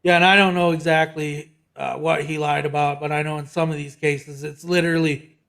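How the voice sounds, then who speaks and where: Rambling man with a slightly high voice. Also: flat delivery, fairly quick, vocal fry, a bit bright. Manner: rambling, half-laughing asides, trails off. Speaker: podcast co-host. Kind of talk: casual political podcast chat.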